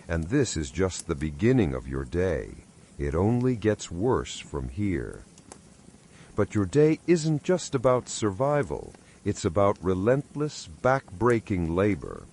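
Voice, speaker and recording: A man's voice: deep voice, huge pitch swings, a little slow, darkish tone, slight background noise. A man delivering scripted history narration in a wry, sardonic storytelling manner, addressing the listener directly.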